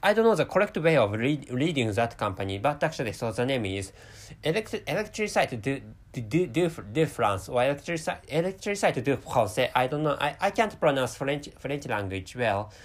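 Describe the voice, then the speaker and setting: A man's voice: mid-range voice, very animated, brisk. A man with a Japanese accent hosting a solo podcast, talking with a stutter and many restarts. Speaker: podcast host.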